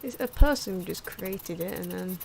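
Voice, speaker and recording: A soft-spoken woman with a fairly low voice talks through her drawing as ASMR. Her delivery is hesitant and unpolished. The recording has clear noise, including the sounds of pencils and markers. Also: lively intonation, moderate pace. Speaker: artist narrating own drawing video.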